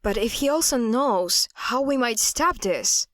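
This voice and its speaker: An actress playing a level-headed, decisive investigator in an audio drama, with a practical, deliberate manner. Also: female voice, on the high side, expressive, a bit bright, a little hiss or hum. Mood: concerned.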